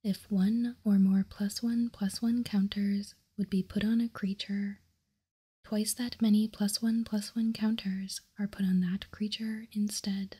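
A woman whispering softly and calmly in an ASMR style, explaining and reading out text as a host, close to the microphone. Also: mid-range voice, slightly rough. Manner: soft, unhurried, calm explaining. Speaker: host of card-game ASMR channel.

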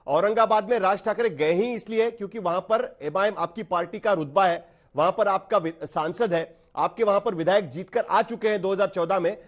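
A male news anchor with an Indian accent and a high-pitched voice. He puts long questions to a guest in a broadcast interview style, speaking from a TV studio.

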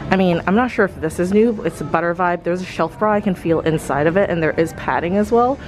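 A woman's voice: lowish voice, fast, over music, low-quality recording. A young woman in her twenties chatting casually through a clothing try-on vlog, rambling with fillers as she films on a handheld camera in a shop. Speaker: fashion vlogger. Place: clothing store, indoors.

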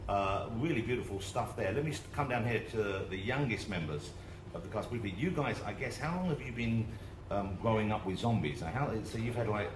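A man's voice: lowish voice, expressive, brisk. A man with an English accent moderating a convention panel in a tent, joking with the cast as he asks questions. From England. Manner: jokey, self-deprecating. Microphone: handheld mic at a podium. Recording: echoey tent with crowd noise.

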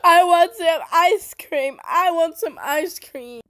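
A woman's voice: very high voice, slow pace, fairly bright tone, dry recording, full character voice. A woman voicing a whiny, insistent small child who begs in a skit. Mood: whining. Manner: whining, insistent.

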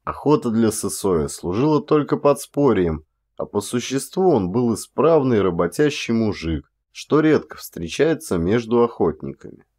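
A man reading an audiobook as an actor, telling a literary story in a measured, expressive way. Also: mid-range voice.

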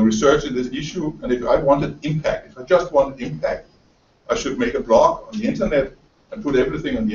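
A man's voice: medium pitch, a little mellow, slight background noise. A middle-aged man, a native Danish speaker, giving a lecture as a journalist and author in a college room, hesitant with many fillers and repeated words. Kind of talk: lecture talk reading from notes. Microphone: far camera. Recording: roomy, distant, dull camera audio.

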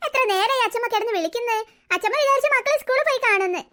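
A sly old grandmother sighs and mutters complaints under her breath in a home skit, as a woman puts her on. Her voice stays high, bright and quick, and she sweet-talks the children while plotting against their mother. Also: lively intonation, exaggerated voice. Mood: sweet-talking. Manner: sighing, complaining, muttering to herself. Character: grumbling scheming old grandmother. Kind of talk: one-person family skit.

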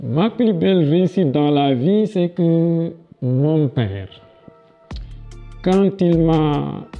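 A middle-aged man with a Senegalese French accent, answering interview questions from his office with halting restarts and stories told with growing energy. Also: a little high, mellow, lo-fi sound. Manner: halting restarts and repeated words, livelier when telling stories. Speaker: education official interviewed as guest. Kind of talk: interview answers, personal anecdotes. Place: office with large window, indoors. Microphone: lapel mic.

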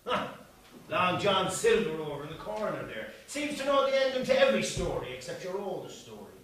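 A scolding Irish publican, echoey. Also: male voice, high-pitched, big pitch swings, fairly quick, fairly bright tone. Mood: scornful. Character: curmudgeonly male Irish publican, exasperated and scornful. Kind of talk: live stage play acting. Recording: echoey theatre stage, distant mic, faint hiss.

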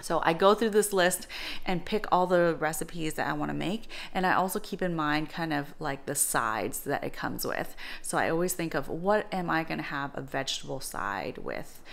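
A woman's voice: low-pitched, lively intonation, normal speed, creaky. A woman in her thirties explaining home cooking in an informal, conversational vlog style. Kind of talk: casual vlog explanation to camera.

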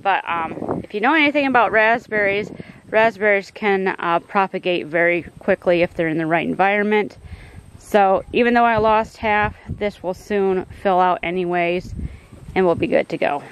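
A middle-aged woman walks her garden and talks through each plant in a relaxed, explanatory way, dropping plenty of ums and uhs. She's filming outdoors on a handheld phone, her voice sitting at an ordinary middle pitch. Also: moderate pace, a little hiss or hum, rough recording. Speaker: homestead gardener giving a garden tour. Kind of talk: casual garden tour narration. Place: outdoor backyard garden and greenhouse.

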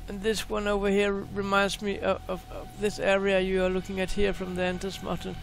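A man hosting a space video, talking casually and excitedly over a screen share, often repeating words. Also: sits high, normal speed, creaky, soft background music.

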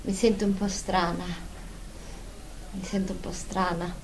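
A woman's voice: lowish voice, level tone, measured pace, gravelly, low-quality recording. A woman playing an uneasy, fearful therapy patient in a radio drama.

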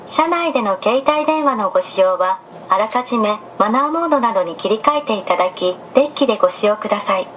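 A woman's voice: medium pitch, expressive, a bit bright, highs cut off. A woman reading a polite, scripted automated announcement, played over a train's speakers. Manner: polite, measured, precisely scripted. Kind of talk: recorded scripted public announcement. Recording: train PA with background noise.

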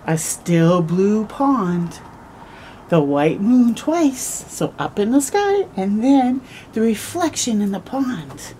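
A woman's voice: mid-range voice, a little hiss or hum. A middle-aged woman hosting a children's storytime, reading a picture book aloud expressively with little asides, recorded outdoors on a camera close by. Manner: expressive, slow storytelling with gasps and drawn-out words. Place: outdoors, porch or yard in early morning.

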